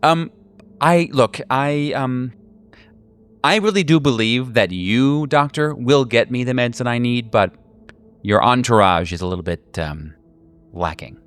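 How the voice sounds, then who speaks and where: A man's voice: mid-range voice, a little slow, a bit bright, smooth, close to an everyday voice. A man voicing a flighty, insistent woman patient who rambles and hesitates in a tabletop horror game. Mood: haughty, dismissive. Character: flighty, snippy woman patient craving a prescription.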